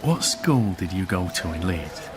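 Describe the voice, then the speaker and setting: A man's voice: low voice, very animated, unhurried, music in the background. A wry Yorkshireman. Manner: dry, teasing, self-deprecating. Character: man from Yorkshire living in London, wry and self-deprecating. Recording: radio drama with background noise.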